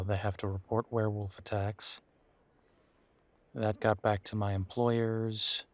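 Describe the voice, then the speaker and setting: Man playing wry young male werewolf, bitter, sardonic, conversational audio roleplay, slow halting delivery, dry close sound. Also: fairly low pitch, no room sound. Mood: wry recounting. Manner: halting, sardonic, conversational with sighs and repeated words. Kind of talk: audio roleplay drama, one-sided intimate conversation.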